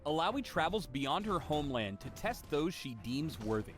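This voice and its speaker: A man narrates a promotional game guide with an animated, swinging delivery. He sounds scripted and polished, with playful turns of phrase, and music plays clearly behind him. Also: on the high side, normal speed. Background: prominent music.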